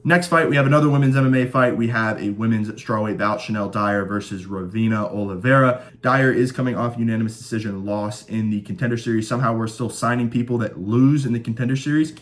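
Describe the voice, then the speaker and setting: A man's voice: medium pitch, fast, hardly any pauses. A young man hosting a casual fight prediction show, joking and rambling, slightly stuffed up from a cold. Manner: laid-back, joking, rambling with fillers. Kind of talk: casual sports prediction chat.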